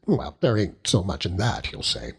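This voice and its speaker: Hearty old country seaman, chuckling, overjoyed, lively pitch, unhurried audiobook character. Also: vocal fry, breathy edge, heavily acted. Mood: jubilant. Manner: chuckling, rambling, dialect-heavy storytelling with exclamations. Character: hearty old working-class seaman uncle, doting and overjoyed.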